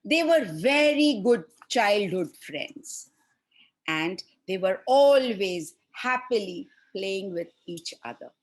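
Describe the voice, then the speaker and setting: A woman telling a fairy tale to children in an expressive style, with deliberate, dramatic pauses between short phrases. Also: mid-range voice. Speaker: storyteller for children.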